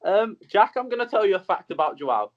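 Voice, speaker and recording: A man's voice: sits high, sing-song, quick pace. A young man hosting a podcast, introducing a guest in an upbeat, chatty way over a video call. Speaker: podcast host. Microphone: video call webcam. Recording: dull video call audio.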